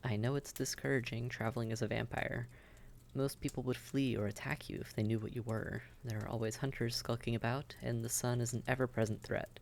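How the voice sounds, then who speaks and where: A man's voice: mid-range voice, level tone, a little fast, creaky, a little hiss or hum, close to an everyday voice. A man playing a friendly, worldly vampire innkeeper who chats and gives reassuring advice straight to the listener in a roleplay audio drama. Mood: encouraging. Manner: chatty, reassuring, advising.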